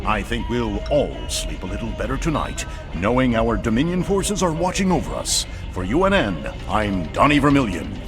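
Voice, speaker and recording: A man's voice: medium pitch, big pitch swings, moderate pace, music in the background, lightly acted. Man acting a pompous, swooping American news anchor. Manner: pompous, showy delivery. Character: pompous male TV news anchor, slick propagandist.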